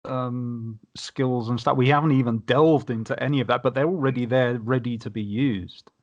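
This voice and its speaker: Man explaining casually, mid-pitched. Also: steady tone, normal speed, lo-fi sound. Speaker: podcast panelist.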